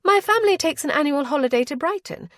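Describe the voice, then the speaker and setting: A woman's voice: high-pitched, expressive, fast, dry recording, studio quality, pitched above the performer's own voice. A woman voicing a bold, confident young society lady with a gushing, self-assured manner.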